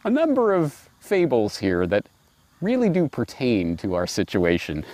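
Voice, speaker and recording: A middle-aged man presenting and reading aloud in a musing, wry, expressive way, recorded outdoors on a lapel mic. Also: sits low, vocal fry, dry recording. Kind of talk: reflective commentary and storybook reading. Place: outdoors in a grassy park.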